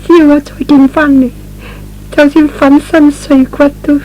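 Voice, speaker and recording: Man voicing a high, plaintive young woman. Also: level tone, a little mellow, slight background noise, rough recording. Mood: tender, tearful. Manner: plaintive, halting. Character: tender, devoted injured young woman in hospital. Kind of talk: Cantonese radio drama acting.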